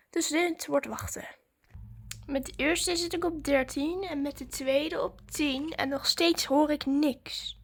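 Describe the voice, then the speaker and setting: A woman chatting informally to her viewers in a casual vlog, narrating what she is doing as she goes. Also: sits high. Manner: informal, chatty, narrates own actions as they happen. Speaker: vlogger.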